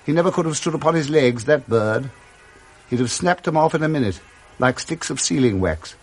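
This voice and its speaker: Expressive English male storyteller, lively pitch. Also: a little fast, soft background music. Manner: expressive, relishing the words. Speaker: narrator. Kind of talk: dramatic storybook narration for radio.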